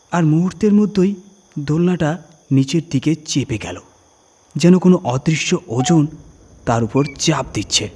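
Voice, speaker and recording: A man with a husky voice, narrating a scary first-person story with suspenseful, deliberate phrasing and dramatic emphasis. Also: on the high side, mellow.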